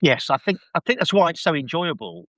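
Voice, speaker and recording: A man's voice: on the high side, big pitch swings, brisk, fairly bright tone, no echo. A man talking as a podcast guest in casual discussion, enthusiastic and emphatic with a wry edge.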